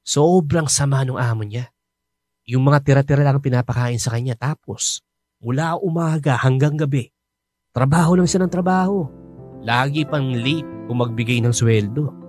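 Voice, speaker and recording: A man's voice: mid-range voice, moderate pace, a little mellow, no room sound, soft background music, natural voice. A man playing a chatty, joking coworker. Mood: friendly. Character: chatty, helpful coworker who knows everyone. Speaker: fellow overseas worker. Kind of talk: radio drama acting.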